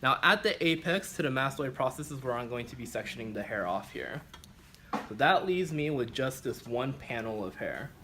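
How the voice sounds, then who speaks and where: A man's voice: mid-range voice, lively intonation, normal speed, noisy background. A man teaching as a barbering instructor, explaining a practical demonstration step by step.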